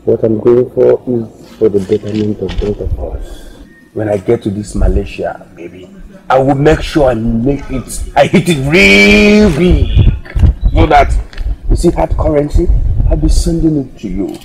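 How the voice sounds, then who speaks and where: A man's voice: medium pitch, expressive, unhurried, vocal fry, poor audio. An actor playing a young village man who teases his girlfriend and promises riches from abroad, with a playful, laughing manner. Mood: boastful. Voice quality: playful, teasing, laughs often. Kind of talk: film acting.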